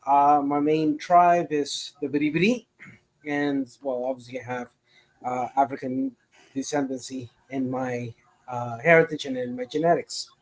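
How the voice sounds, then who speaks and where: A man's voice: medium pitch, unhurried, rough recording. A man from Costa Rica, a guest on a livestream, talking casually and hesitantly with many fillers. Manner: rambling, hesitant with many uhs. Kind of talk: casual chat.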